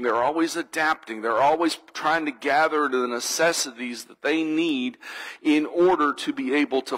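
Man, pastor, sermon, bright tone, earnest, reflective, repetitive phrasing, church sanctuary. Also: fairly high pitch, poor audio.

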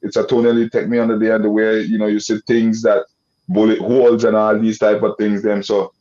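A man's voice: lowish voice, little pitch movement, brisk, dark tone. A young man from Nicaragua with a Creole English accent, talking loosely on a video call and restarting his sentences often. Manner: loose rambling with many restarts and "you know" fillers. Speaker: guest. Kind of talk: casual video-call interview. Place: indoor room. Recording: dull lo-fi video call audio.

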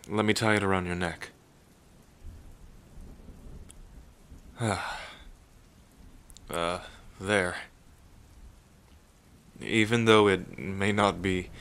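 A man playing a gentle, bashful pirate captain in a soothing, hesitant, soft-spoken ASMR roleplay. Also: sits low, full character voice. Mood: reassuring, tender.

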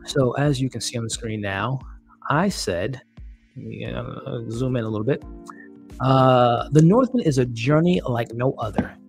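A man's voice: mid-range voice, a little slow, creaky, faint music behind. A man in his thirties hosting a casual live stream, chatty and upbeat, laughing often and thanking viewers, close to a desk mic in a small room. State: losing his voice. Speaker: livestream host. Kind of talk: casual live-stream chat reading comments. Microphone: studio mic on desk, close.

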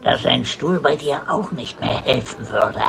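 A man playing a small, cheeky bird with a big ego in a comic audio drama, creaky, bickering and whiny. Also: medium pitch, animated, a little slow, a bit bright. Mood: sarcastic. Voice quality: creaky, gravelly.

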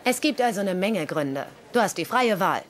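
An actress playing a frank, self-assured nurse in a German-dubbed TV comedy-drama. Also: female voice, mid-range voice, animated, moderate pace, close to an everyday voice. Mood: frank, firm.

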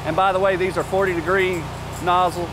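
High-voiced man giving an outdoor how-to. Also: little pitch movement, brisk, background noise. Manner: plainspoken, practical, casual asides. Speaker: company presenter. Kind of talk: how-to demonstration. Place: outdoor industrial yard. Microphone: lapel mic.

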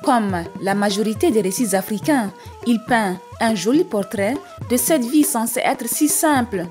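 A woman storyteller reading a scripted African folktale for an animated story. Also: fairly high pitch, unhurried. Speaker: storyteller, narrator. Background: music.

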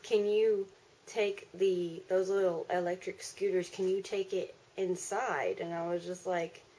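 A woman's voice: lowish voice, little pitch movement, measured pace, mellow. A woman in her twenties chatting casually to camera in an informal, rambling way. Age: 20s. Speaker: vlogger. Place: small room at home, indoors. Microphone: handheld camera at arm's length. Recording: noisy handheld camera in a room. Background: clear background noise.

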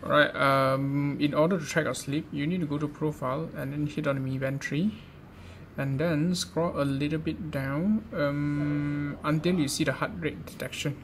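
A man's voice: on the high side, fairly even intonation, moderate pace. A man giving an informal step-by-step gadget tutorial in a hesitant, repetitive way. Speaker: presenter of a gadget how-to guide. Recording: clearly noisy amateur recording.

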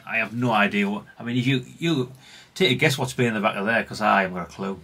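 A man's voice: fairly low pitch, fast talker, sharp tone. A middle-aged British man giving casual, unscripted workbench commentary in a rambling, chatty, plain-spoken way. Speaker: hobbyist radio repair vlogger.

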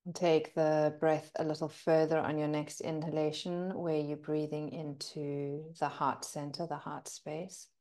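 Low soft mellow lady doing a guided breathing meditation, gentle n soothing, lots of pauses. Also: little pitch movement. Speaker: meditation and yoga teacher.

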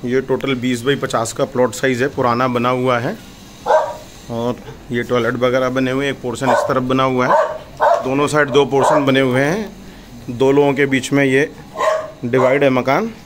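A man's voice: medium pitch, fairly even intonation, normal speed, very noisy. A man in his thirties presenting a house for sale in a plain conversational vlog, with hesitation fillers. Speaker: property dealer. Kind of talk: real estate listing vlog. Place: indoor office with decor shelves, then outdoors walking through a lane.

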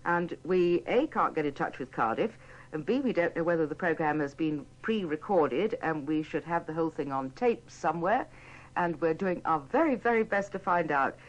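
A British woman announces live radio in a deep, lively, varied voice. She is polite and apologetic, and the archive recording sounds dull. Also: normal speed. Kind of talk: live radio presenting, ad-libbed links.

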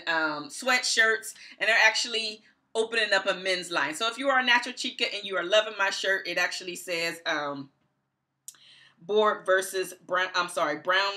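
Emphatic woman with a very bright voice. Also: medium pitch. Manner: emphatic, repeats phrases for stress. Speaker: book reviewer, vlogger. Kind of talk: casual review talk to camera. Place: small indoor room at home.

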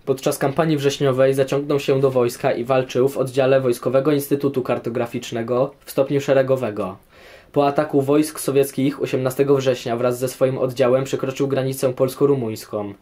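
A man's voice: mid-range voice, fairly even intonation, a little fast, dark tone. A man answering history questions in scripted documentary narration.

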